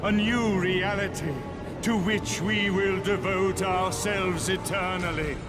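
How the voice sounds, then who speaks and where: A man's voice: sits high, steady tone, slow pace, loud music behind the voice. A man playing a grandiose, scheming zealot villain in a video game, with a pompous, ceremonial delivery. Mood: exultant, ceremonial. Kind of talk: dramatic video game cutscene monologue.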